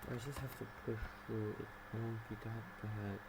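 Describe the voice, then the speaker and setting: A man muttering quietly to himself in a halting, subdued way. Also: on the low side, fairly even intonation, loud music behind the voice, low-quality recording.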